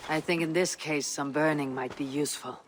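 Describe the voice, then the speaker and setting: A man playing a seasoned, pragmatic Viking raider in a video game, measured and resolute. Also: a little high, steady tone, fairly bright tone, slight background noise, natural voice. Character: seasoned male Viking raider, steady, pragmatic war counsellor. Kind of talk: video game cutscene acting.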